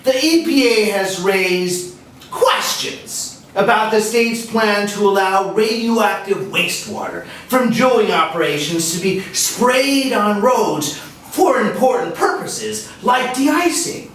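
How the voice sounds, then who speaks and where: A man's voice: high-pitched, animated, takes it slowly, fairly bright tone, slight background noise, full character voice. A man voicing a mock-earnest, sarcastic male interviewer puppet in a satirical puppet show. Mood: ironic. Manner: mock-earnest, ironic praise. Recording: echoey room, mic far off.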